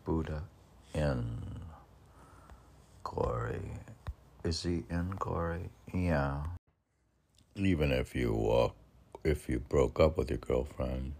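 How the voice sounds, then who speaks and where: An older man reading poetry informally, with halting, musing asides in which he asks and answers himself. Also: very deep voice, creaky, a little mellow, slight background noise. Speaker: poetry reader and podcast host.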